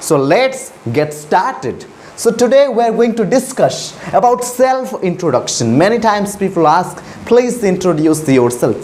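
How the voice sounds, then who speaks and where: A man's voice: high-pitched, sing-song, a little slow, hardly any pauses, a little mellow. A man teaching a spoken lesson, patiently repeating model sentences step by step. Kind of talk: recorded English lesson with example answers.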